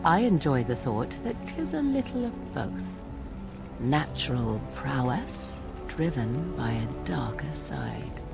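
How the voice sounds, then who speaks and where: A woman's voice: a bass voice, huge pitch swings, takes it slowly, vocal fry, full character voice. An actress voicing a sly, aloof witch woman in a video game, with arch, archaic, precise phrasing. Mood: sly, teasing. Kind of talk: video game dialogue acting. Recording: dramatic game music behind.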